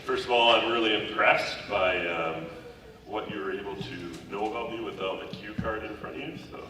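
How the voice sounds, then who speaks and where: A man's voice: on the low side, moderate pace, over loud music. A man with a cold giving a conversational motivational talk to students, repeating points for emphasis. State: has a cold. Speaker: keynote speaker. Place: large school hall. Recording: echoey hall recorded from far off.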